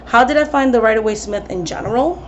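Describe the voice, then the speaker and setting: A woman's voice: fairly high pitch, fast, a little hiss or hum. A woman tarot reader in her thirties talking casually to camera, chatty, with many ums and restarts. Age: 30s. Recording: slightly dull recording with faint ambience.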